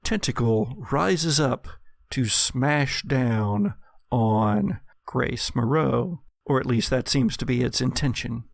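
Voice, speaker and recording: Creaky-voiced man narrating a tabletop game. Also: medium pitch, slow pace, no room sound, clean studio sound. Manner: dramatic, vivid second-person storytelling. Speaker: game master.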